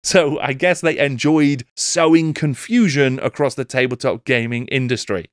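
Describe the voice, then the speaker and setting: Casting: middle-aged British male host, mid-pitched, wry video essay delivery, dry close-mic sound. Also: moderate pace, dry recording. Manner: wry, theatrical emphasis. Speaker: YouTube host. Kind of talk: scripted video essay narration. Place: small book-lined home room. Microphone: close desk microphone with pop filter.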